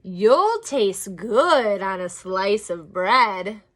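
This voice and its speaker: A big, gullible, hungry monster from a picture book, played by a woman. He stretches out his words slowly and exaggerates them, swinging up and down. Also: medium pitch, lively intonation. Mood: menacing, hungry.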